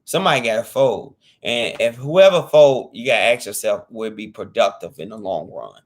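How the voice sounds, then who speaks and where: A man's voice: fairly low pitch, animated, moderate pace. A man in his thirties talking casually to camera as a fitness vlogger, earnest and repetitive, restating his points in informal slang. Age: 30s.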